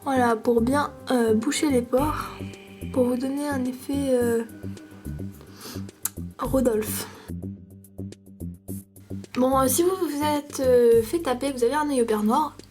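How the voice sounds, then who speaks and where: A woman's voice: sits high, slow, pauses often. Chatty woman with a cold, music behind. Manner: hesitant, many fillers, playful parody tone. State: stuffy with a cold. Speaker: makeup vlogger. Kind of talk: casual makeup tutorial.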